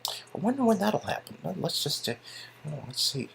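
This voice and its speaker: Man performing sarcastic ex-agent, husky voice, dry wisecracks, halting delivery, game dialogue. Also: on the high side, sing-song, fairly bright tone, pitched above the performer's own voice, full character voice.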